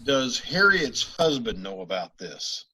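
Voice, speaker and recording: A terse police sergeant delivers flat, clipped lines and a dry first-person report, as an actor plays him in a radio drama. His voice sits at a middling pitch. It is all business, with no flourish. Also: slow pace, slightly rough, exaggerated voice, rough recording.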